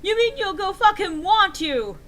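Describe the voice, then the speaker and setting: An overwrought teenage goth girl from a story, acted by a woman reader. The voice leaps high and shrill. She is melodramatic and quick to fury. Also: big pitch swings, a bit bright, a little hiss or hum, exaggerated voice. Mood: furious, shrill.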